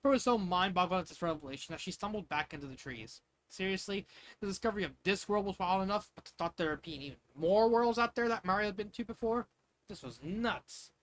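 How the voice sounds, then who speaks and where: A man's voice: high-pitched, a little fast, a bit bright, slight background noise, poor audio. A man narrating fan fiction in an informal, amateur way, stumbling over words with casual asides.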